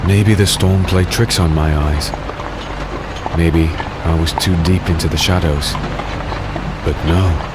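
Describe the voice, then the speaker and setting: An actor playing a grim, haunted, secretive warrior in a dark fantasy audio drama, speaking tersely and dramatically. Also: male voice, low voice, fairly even intonation, takes it slowly, pauses often, very noisy. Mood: brooding. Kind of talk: dark fantasy audio drama, first-person narration and dialogue.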